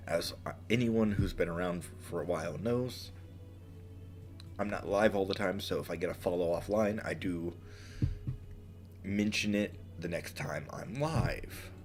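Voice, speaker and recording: Stuffy sick guy streaming games, real low voice, takes his time, lots of ums, faint music behind. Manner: halting and apologetic, with many ums. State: congested from a cold. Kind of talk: casual gaming stream chat.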